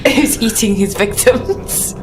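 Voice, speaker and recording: A woman's voice: a little high, slow, heavy background noise. A woman at a tabletop roleplaying game, chatting casually about her character and the story. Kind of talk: casual, animated game banter.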